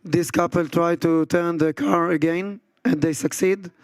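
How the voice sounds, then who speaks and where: A man's voice: a little high, fairly even intonation, unhurried, smooth, dry recording. A man telling a story to an audience in simple, broken English. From Israel. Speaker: speaker at a public event.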